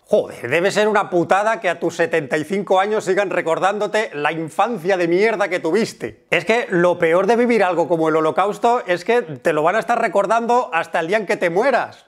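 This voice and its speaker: High-pitched sardonic Castilian Spanish man. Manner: irreverent, sardonic, punchy. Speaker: YouTube host. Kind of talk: scripted comedic narration to camera. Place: small indoor room with bookshelves. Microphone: lavalier on shirt.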